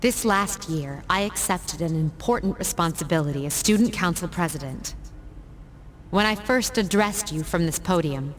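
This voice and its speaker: Composed young student council president, acted by a woman, formal podium speech, lower voice. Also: slight background noise, natural voice, rough recording. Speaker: valedictorian. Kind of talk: video game cutscene voice acting.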